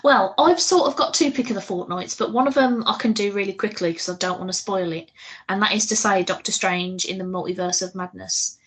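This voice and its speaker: A woman's voice: medium pitch, fairly quick, fairly bright tone, low-quality recording. A woman chatting casually as a podcast co-host, giggly and talkative, with a loose, sweary way of talking.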